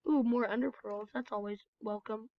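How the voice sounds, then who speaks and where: A woman's voice sits a little high and sounds stuffed up and nasal from a cold, chatting in a loose, rambling way while she plays. The recording is dull and lo-fi, with no room sound at all. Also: fairly even intonation, moderate pace, no echo. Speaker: gameplay video host. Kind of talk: casual game commentary.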